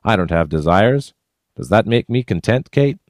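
A man's voice: fairly low pitch, lively intonation, moderate pace, dry recording, studio quality, pitched above the performer's own voice, lightly acted. A man voicing an easygoing wandering prospector who muses wryly. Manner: wry, musing.

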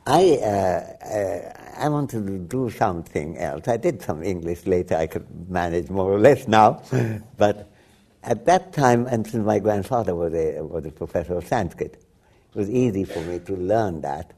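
An older man, a native Bengali speaker from Bangladesh, talking reflectively as an interview guest, often restarting and laughing softly. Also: low voice, animated, a little slow, slightly rough. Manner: rambling with frequent restarts and soft laughs. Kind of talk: reflective conversation.

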